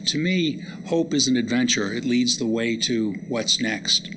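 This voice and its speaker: A man giving a public lecture, introducing poems and readers in a halting, reflective manner. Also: medium pitch. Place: indoor public lecture event. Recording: noisy live lecture room recording.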